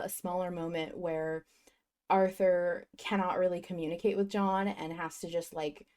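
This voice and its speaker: Woman, slightly low pitch, casual podcast discussion, reflective, enthusiastic, talkative. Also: warm tone. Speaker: podcast host.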